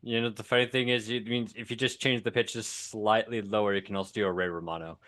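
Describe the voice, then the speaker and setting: A man's voice: fairly low pitch, steady tone, fast, fairly bright tone. A man with a nasal voice, chatting and joking as the game master before the session. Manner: giggly, jokey. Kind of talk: casual pre-game chat.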